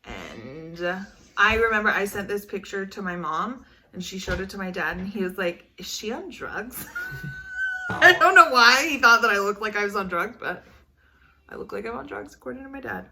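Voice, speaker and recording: A woman's voice: medium pitch, sing-song, normal speed, noisy background. A young woman with an American accent chatting and telling casual stories in a playful, teasing way. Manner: playful, teasing, laughs often. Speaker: vlogger telling dating stories with partner. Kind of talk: casual storytelling chat.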